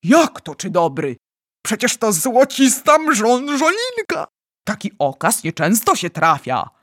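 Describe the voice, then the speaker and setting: A woman voicing an excitable, bossy male giant in a storybook, exclaiming theatrically in garbled made-up words. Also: medium pitch, slow, slightly rough, no room sound, studio quality. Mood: thrilled. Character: excitable male giant dream-catcher, bossy, dramatic, word-mangling.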